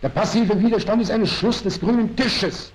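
A man's voice: sits high, normal speed, voice pushed up, close to an everyday voice. A man reading a play as a fervent young nationalist militant, pressing and emphatic, in a historical recording. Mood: urgent. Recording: dull historical archive recording.

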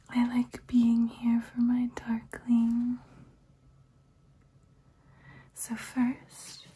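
A woman playing a soothing female vampire in an ASMR roleplay, whispering breathily in a slow, lulling way. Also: on the high side, warm tone, breathy edge, heavily acted.

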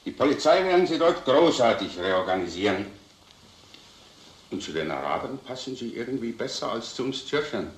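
An actor playing a composed, genial police commandant, courteous and official, in an old crime drama. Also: male voice, medium pitch, very animated, normal speed, natural voice. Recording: roomy old soundtrack, some noise.

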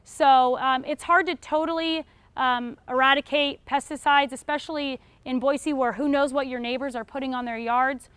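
A woman's voice: sits high, steady tone, moderate pace, fairly bright tone, smooth, dry recording. A young adult woman with an American accent, explaining things informally as a class instructor answering questions. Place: retail store aisle in front of a seated audience.

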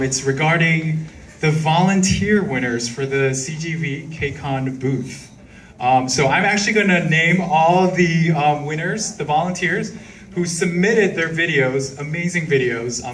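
A man hosting a fan event, making loud, chatty announcements with apologetic asides in a large cinema hall. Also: a little high. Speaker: event host. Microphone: handheld mic, filmed from far seats. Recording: distant voice, crowd and noise from far off.

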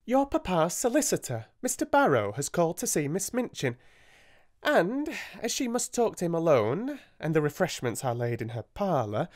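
A man's voice: high-pitched, darkish tone, pitched above the performer's own voice. A man voicing a fussy, flustered spinster schoolmistress in a storybook reading.

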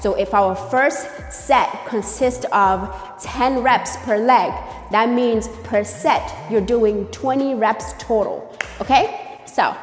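A young woman coaches a workout, speaking slowly with a slightly high voice. She keeps checking in with the listener as she explains each step. She is heard from a distance in an echoey gym room, with faint music behind her. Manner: upbeat and coaching, with frequent "okay?" and "right?" check-ins. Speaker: fitness coach. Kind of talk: workout tutorial. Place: large gym room with glass walls.

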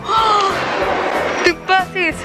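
A woman's voice: very high voice, extremely slow. A young woman hosting a hidden-camera prank, chatty and playful, often giggling, recorded from a distance in a busy food court. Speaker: prankster host. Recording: loud music and crowd noise. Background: loud music and chatter.

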